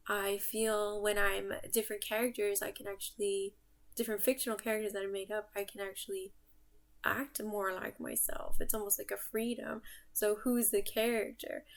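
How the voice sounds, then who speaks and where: A young American-born woman whose voice has a steady gravelly fry, pitched in a middle range. She introduces herself to camera in a casual, unscripted way. She muses as she goes, as if working her thoughts out while she speaks. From United States. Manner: reflective, musing, thinks aloud. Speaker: artist and vlogger.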